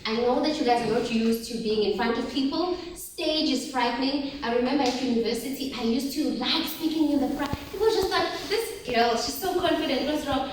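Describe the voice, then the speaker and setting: A woman in her forties from South Africa, giving a lively motivational talk to teenage girls and asking them questions as she goes. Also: sits high, a little fast, hardly any pauses, very echoey, recorded from a distance, background noise. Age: 40s. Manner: lively, asks the audience questions often. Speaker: workshop facilitator.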